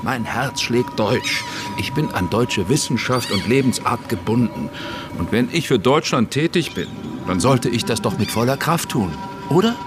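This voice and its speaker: An actor in a radio play as a grandiose middle-aged schemer, wheedling and theatrical with drawn-out phrases. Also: male voice, mid-range voice, expressive, music in the background, lightly acted. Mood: pleading, persuasive. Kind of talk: radio play acting, dramatic dialogue.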